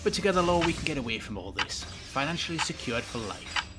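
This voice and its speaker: An actor playing a scheming, controlling villain boss in a sci-fi audio drama, formal and commanding with veiled menace. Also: male voice, medium pitch, sing-song, a little fast, over loud music, close to an everyday voice. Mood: quietly menacing. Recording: very noisy with clicks.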